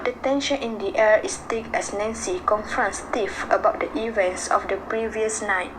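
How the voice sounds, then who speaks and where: A woman's voice: mid-range voice, fairly even intonation, moderate pace. A woman reading scripted radio drama narration in a dramatic storytelling manner. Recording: clear background noise.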